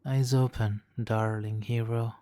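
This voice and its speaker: A man's voice: on the low side, little pitch movement, slow pace, dark tone, dry recording. A man playing a suave, manipulative supervillain in an ASMR roleplay, silky and theatrically polite, smugly doting and sometimes slipping into whispers. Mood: soothing, hypnotic. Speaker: audio roleplay performer. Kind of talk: ASMR roleplay, hypnotic countdown.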